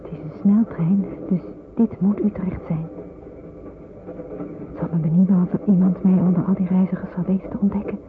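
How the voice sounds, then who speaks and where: A woman's voice: lowish voice, fairly even intonation, moderate pace, narrow, tinny audio, lightly acted. A woman playing an earnest, uneasy young woman in a radio drama, hesitant and polite, on a noisy old recording. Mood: anxious. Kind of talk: Dutch radio drama acting.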